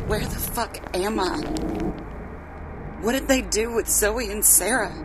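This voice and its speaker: A woman's voice: mid-range voice, unhurried, lightly acted. A woman playing a frightened but determined captive woman in a horror audio drama. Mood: frightened, searching. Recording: noisy background hum.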